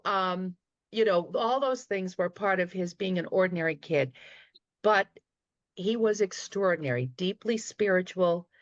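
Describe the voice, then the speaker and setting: A woman's voice: fairly low pitch, no room sound, highs cut off. A woman with a Boston accent giving earnest, fond remarks as a guild director on a video call.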